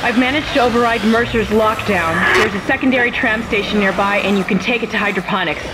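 A woman's voice: mid-range voice, quick pace, lightly acted. A woman playing an anxious but practical crew technician in a video game, giving a teammate urgent guidance. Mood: worried, urgent. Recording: faint noise behind the voice.

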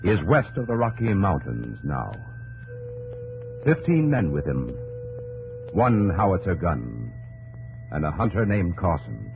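An actor playing a terse, portentous narrator of frontier history in a 1950s radio drama. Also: male voice, low-pitched, very animated, a little slow, vocal fry, pauses often, music in the background, full character voice. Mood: grave. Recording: narrow-band 1950s radio recording, faint hum.

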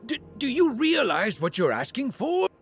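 An actor voicing a small, fussy old demon thief in a video game, raspy and prone to indignant spluttering. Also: male voice, very high-pitched, huge pitch swings, moderate pace, soft background music, exaggerated voice. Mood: flustered, indignant. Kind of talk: video game cutscene acting.

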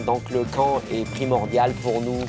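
A man in his sixties, a team manager giving a documentary interview, pausing often with fillers. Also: mid-range voice, little pitch movement. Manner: hesitant, many fillers. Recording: interview under a music bed.

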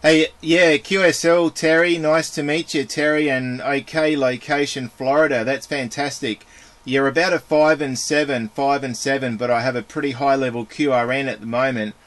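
A man's voice: mid-range voice, fairly even intonation, normal speed, a bit bright. An Australian man working as an amateur radio operator, speaking in procedural phrases, phonetic call signs and friendly radio chat.

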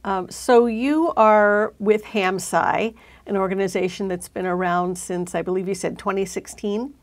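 A woman's voice: medium pitch, fairly even intonation, a little slow. A middle-aged woman hosting a conversational interview in a studio on a lapel mic. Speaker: host. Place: indoor studio.

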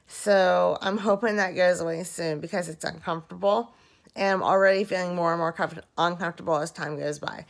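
A woman in her thirties speaks hoarsely with a dry, gravelly rasp, worn out and drowsy. Her pitch sits at an ordinary middle. She rambles slowly through a casual video diary into a phone held at arm's length while lying in bed at home. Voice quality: dry-mouthed, rough voice. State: hoarse and very tired after surgery. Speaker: vlogger.

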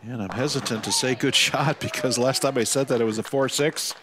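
A man doing live bowling commentary, giving measured analysis with excited calls on shots. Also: mid-range voice, background noise. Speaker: sports commentator.

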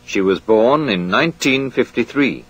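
A man reading a scripted language course drill in a clear, measured way. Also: mid-range voice, expressive, fairly bright tone, dull audio.

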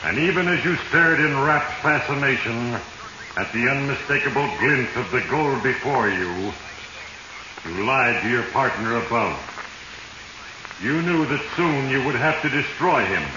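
An actor playing Fate, an ominous, heavy-handed narrator gloating over doomed men in a 1940s radio drama. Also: male voice, mid-range voice, animated, unhurried, close to an everyday voice. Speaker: host narrator. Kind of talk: 1940s radio acting, second-person narration. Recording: muffled, noisy, echoing 1940s radio recording.

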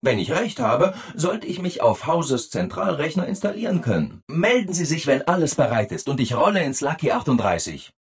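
A man's voice: a little high, big pitch swings, normal speed, few pauses. A man playing a relentlessly cheerful, obliging robot assistant in a video game, with an overeager, singsong delivery. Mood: bubbly, eager. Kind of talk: scripted video game dialogue.

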